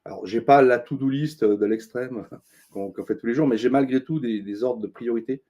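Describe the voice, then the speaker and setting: Middle-aged guy, warm dark voice, chatty interview, lots of euh and restarts. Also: medium pitch, moderate pace. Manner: thoughtful, many fillers and restarts, earnest advice-giving. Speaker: interview guest, business consultant. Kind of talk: casual interview talk.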